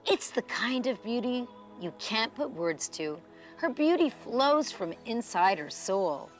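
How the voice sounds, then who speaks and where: Storybook role, read by a woman: small, kindly elderly island woman, wondering and lively in pitch. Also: takes it slowly, faint music behind. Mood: awed. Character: tiny, strong old Caribbean mountain woman, kindly and wondering. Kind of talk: storybook character reading.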